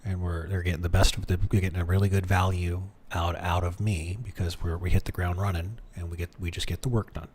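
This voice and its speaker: A man's voice: low-pitched, flat delivery, fast talker, few pauses, a little mellow, slight background noise. A man streaming from home, chatting casually and thinking aloud, with lots of restarts and fillers as he mutters his way through things. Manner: rambling, many restarts and fillers, muttering while reading.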